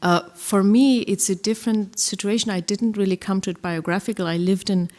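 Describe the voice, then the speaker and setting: Woman musing haltingly on a panel. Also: medium pitch, steady tone, a little mellow. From Germany. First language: German. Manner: thoughtful, halting, many fillers and restarts. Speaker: poet and translator on a panel. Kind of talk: live literary conversation.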